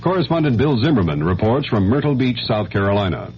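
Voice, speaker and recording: A man's voice: mid-range voice, sing-song, quick pace. An American man anchoring a scripted hourly radio news bulletin. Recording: dull, faintly noisy 1970s radio broadcast.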